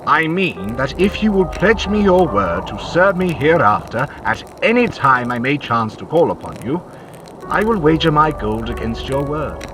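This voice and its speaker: A man's voice: fairly high pitch, big pitch swings, normal speed, music in the background, rough recording. A man playing a sinister ghostly gambler in an audio drama, eloquent and coaxing, with theatrical, archaic formal phrasing. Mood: sly, tempting.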